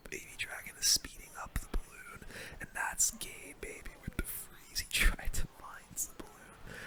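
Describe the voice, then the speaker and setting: A young man speaks entirely in a breathy whisper, with no full voice behind it. He gives casual, slangy gaming commentary as an ASMR host, taking his time over the play. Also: extremely high pitch.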